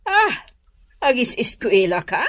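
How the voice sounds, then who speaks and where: Slow, swooping Ilocano auntie. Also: female voice, on the high side, very animated, fairly bright tone, exaggerated voice. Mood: curious. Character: middle-aged aunt, lonely homeowner keen on travel. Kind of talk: Ilocano radio comedy drama acting.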